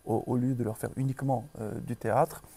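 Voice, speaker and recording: Homme marocain posé, voix sombre. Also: male voice, mid-range voice, little pitch movement, vocal fry, dark tone, breathy edge. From Morocco. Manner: measured, many pauses and restarts. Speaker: school director. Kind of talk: interview.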